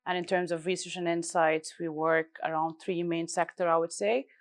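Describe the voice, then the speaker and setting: A woman in her thirties, originally from Lebanon, answers questions at a live industry event. Her voice sits a little low, and she talks fluently and explains in detail, with a few light fillers. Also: flat delivery, normal speed. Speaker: guest speaker, research director. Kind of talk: live panel interview.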